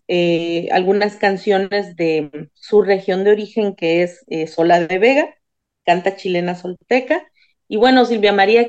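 A woman's voice: medium pitch, darkish tone. Chatty woman singer, muffled sound. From Isthmus region of Oaxaca, Mexico. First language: Zapotec. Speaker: singer, radio guest. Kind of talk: radio interview.

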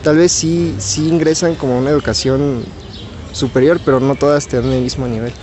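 A man's voice: mid-range voice, fairly even intonation, a little fast, a little mellow. A man in his twenties, a medical student, answering hesitantly and repeating words. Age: 20s.

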